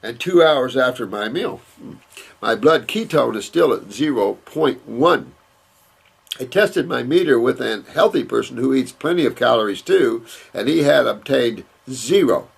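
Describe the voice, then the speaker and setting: Gravelly, husky older man rambling. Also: medium pitch, expressive, a little slow. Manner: rambling, emphatic, repeats words and restarts. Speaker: naturopath answering viewer questions. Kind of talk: casual Q&A talk, reading and answering letters.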